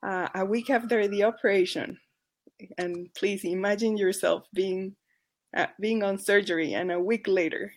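Mexican-accented woman guest, mid-pitched, earnest, self-correcting storyteller. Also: fairly even intonation, moderate pace. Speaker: charity advocacy worker. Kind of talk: podcast guest telling a case story.

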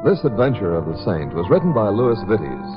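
Animated low-voiced male radio announcer. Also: moderate pace. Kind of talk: 1950s radio announcing, scripted. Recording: dull old 1950s radio recording. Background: music.

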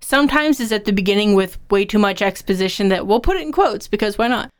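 A woman's voice: mid-range voice, expressive, quick pace. A woman co-hosting a podcast, discussing and explaining in a conversational style. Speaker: podcast co-host.

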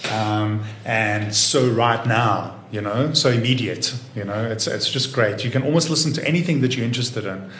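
Relaxed man in his fifties, roomy room. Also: fairly low pitch, moderate pace, hardly any pauses, a little hiss or hum. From Israel. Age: 50s. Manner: easygoing, many "you know" fillers and restarts. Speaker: interview guest, entrepreneur. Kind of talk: relaxed conversational interview.

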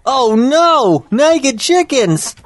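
A man's voice: very high-pitched, very animated, drawn-out words, heavily acted. A man playing a folksy, easily startled farmer in a comic audio drama, blustering and exclamatory. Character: folksy, startled male farmer with hen house. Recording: noisy, with clucking chickens behind.

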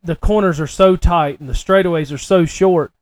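A man's voice: on the high side, normal speed, no echo. A man, a podcast co-host telling stories in a casual, folksy, emphatic way. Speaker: podcast co-host, racing personality. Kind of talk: casual chat, animated storytelling.

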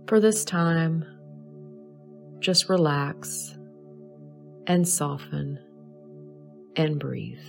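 A woman leading a guided meditation in a soothing, measured way, pausing between short phrases. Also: sits low, gravelly, a little mellow, soft background music. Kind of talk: slow guided meditation narration.

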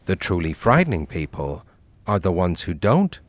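An actor playing a cultured cannibal doctor villain who leads a villains' support group, speaking in a soft, measured way. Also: male voice, sits low, sing-song, heavy background noise.

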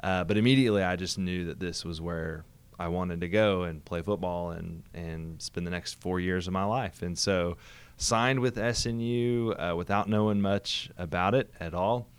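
A man's voice: low-pitched, normal speed, clean tone. A young man from Oklahoma, a church hospitality pastor, talking in an easygoing, friendly way and chuckling often in a casual interview.

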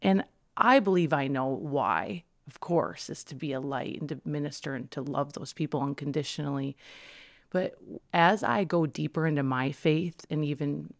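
Podcast host, gravelly fry in the voice, gushing and effusive, stumbles into restarts. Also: medium pitch, moderate pace. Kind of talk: casual interview chat.

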